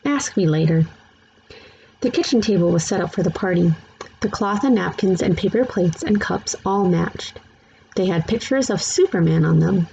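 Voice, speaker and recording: Mellow woman reading a children's book. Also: lowish voice, normal speed, gravelly. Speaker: read-aloud narrator. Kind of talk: scripted children's book narration. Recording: slightly dull, faintly noisy recording.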